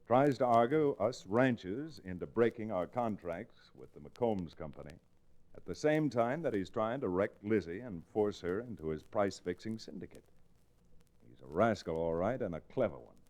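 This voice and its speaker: A man's voice: on the low side, lively intonation, moderate pace, darkish tone, lightly acted. A man playing an earnest, firm lawyer-rancher hero and narrator in a 1940s radio western. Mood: indignant. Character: steady, principled lawyer-rancher hero of the Old West. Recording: noisy old radio recording.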